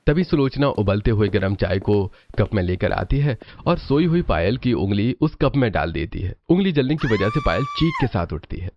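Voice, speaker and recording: Guy narrating a cartoon story, deep-ish and very expressive, dull audio. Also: normal speed, hardly any pauses.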